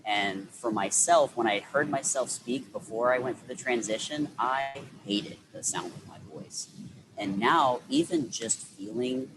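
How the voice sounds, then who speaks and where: A man's voice: mid-range voice, unhurried, background noise. A man speaking as an interview guest, reflecting at length with frequent restarts and fillers. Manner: rambling, many restarts and fillers.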